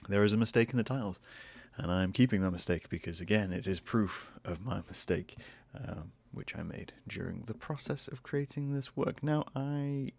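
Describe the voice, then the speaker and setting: A Northern English man talks into a close desk mic in a casual solo podcast. His voice sits very low with a gravelly, creaky edge. He is croaky and hoarse today and wanders through his thoughts with self-deprecating restarts. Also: moderate pace, a little mellow, dry recording. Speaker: podcast host and artist. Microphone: desk microphone close to face.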